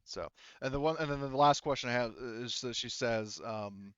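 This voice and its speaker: A man working as game master, narrating a tabletop roleplaying game in a halting, informal way. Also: medium pitch, fairly bright tone, clean tone, dry recording, lo-fi sound. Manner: halting, informal, talks around points.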